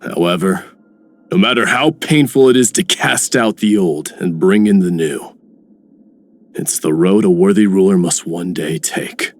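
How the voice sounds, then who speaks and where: Deep, stern warrior king. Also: a little slow. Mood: grave, resolute. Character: stern, proud, battle-hardened male ruler. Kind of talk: scripted game character lines.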